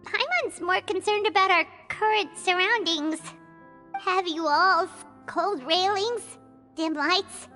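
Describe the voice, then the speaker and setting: A woman voicing a small, excitable, childlike fairy companion in an animated video game style. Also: takes it slowly, faint music behind, full character voice. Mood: uneasy. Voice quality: squeaky, childlike. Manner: speaks of self in third person, exaggerated delivery.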